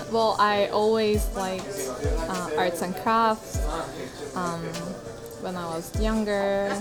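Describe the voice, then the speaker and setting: A young woman from South Korea with a Korean accent, answering casual interview questions in English as her second language, pausing to find words. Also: fairly low pitch. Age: young adult. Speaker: interview guest, graphic designer. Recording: loud background music.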